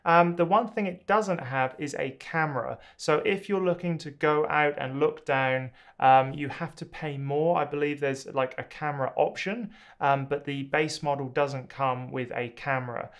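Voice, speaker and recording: Man, thirties, smooth clear voice, slightly high, relaxed, upbeat, filler-heavy review talk. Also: normal speed, few pauses. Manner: relaxed, enthusiastic, many ums and uhs. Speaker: drone reviewer. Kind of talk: casual product review to camera. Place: small indoor home studio.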